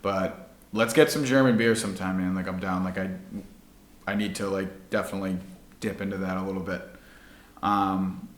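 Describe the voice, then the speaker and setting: A man's voice: deep voice, fairly even intonation, moderate pace, long pauses, reverberant, a little hiss or hum. A male podcast host chatting in a laid-back way, saying "like" a lot. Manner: laid-back, heavy use of "like". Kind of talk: casual chat.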